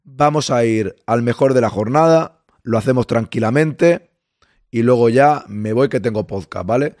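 A man with a Spain Spanish accent hosting a casual livestream, a bit hoarse, chatting with viewers and greeting them by name. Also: medium pitch, moderate pace, darkish tone, no room sound, studio quality. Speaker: livestream host. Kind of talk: casual live chat with viewers.

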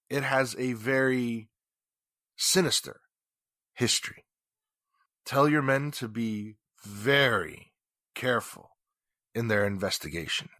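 A man acts out an indignant, wealthy gentleman from a 1940s radio play. His voice is bright and forceful, recorded close and dry. He speaks slowly and formally, weighting his phrases with bitter suspicion. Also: mid-range voice, no echo, full character voice. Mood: grave warning. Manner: deliberate, emphatic, formal phrasing. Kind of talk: 1940s radio drama acting.

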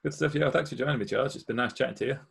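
A man hosting a casual chat with a guest, telling stories quickly and laughing often. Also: mid-range voice, vocal fry, breathy edge. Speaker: host; musician.

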